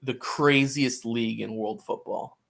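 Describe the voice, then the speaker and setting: Halting man chatting casually about sports. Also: mid-range voice, fairly even intonation, gravelly, fairly bright tone. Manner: halting, with repeated words and false starts. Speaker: sports podcast co-host.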